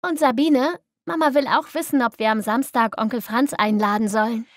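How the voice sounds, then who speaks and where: A woman's voice: a little high, moderate pace, no echo, studio quality, lightly acted. A woman playing an obliging, slightly exasperated daughter passing on messages in an acted language-learning dialogue. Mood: friendly.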